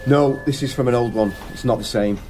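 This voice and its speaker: A man's voice: mid-range voice, fairly quick, dark tone, soft background music, lightly acted. A male actor in a radio drama playing a sullen, hurt teenage boy with a terse, downbeat delivery. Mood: irritated. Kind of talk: naturalistic radio drama acting.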